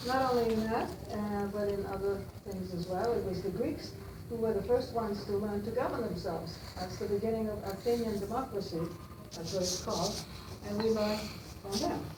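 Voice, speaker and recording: An older woman from Austria with an Austrian German accent, her first language German, speaking to a class of students in a halting way full of fillers and restarts. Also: medium pitch. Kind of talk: classroom talk. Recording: roomy, distant, noisy room.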